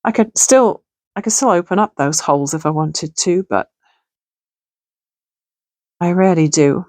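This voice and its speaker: Hoarse-voiced woman chatting through a craft. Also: fairly low pitch, animated, unhurried, darkish tone, dry recording, studio quality. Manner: chatty, thinking aloud while working. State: gravelly, hoarse voice. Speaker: crafting channel host. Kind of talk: casual crafting tutorial chat. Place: home sewing room.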